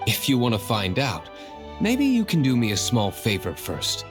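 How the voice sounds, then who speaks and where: A brooding young nobleman for a video game. He speaks low, curt and composed, with formal reserve, over clear background music. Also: wildly swooping pitch, moderate pace. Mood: calm, calculating. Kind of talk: video game character acting.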